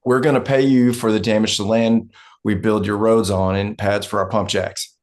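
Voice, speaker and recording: Man acting a low-voiced, blunt oil land man. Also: little pitch movement, moderate pace, a little mellow, close to an everyday voice. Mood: blunt, businesslike. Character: gruff, blunt, world-weary oil company land man in his fifties. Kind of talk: dramatic script table read, monologue.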